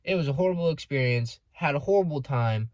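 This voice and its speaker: A man's voice: on the high side, lively intonation, a little fast, darkish tone, no echo. A young American man telling a personal story casually, with dramatic emphasis and sarcastic asides. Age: young adult. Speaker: vlogger. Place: inside a car.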